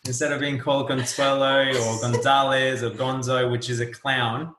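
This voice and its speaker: Thirties man, native Portuguese speaker, easygoing guest, medium pitch, roomy sound. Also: little pitch movement, moderate pace, a bit bright, a little hiss or hum. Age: 30s. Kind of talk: casual interview chat. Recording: roomy echo.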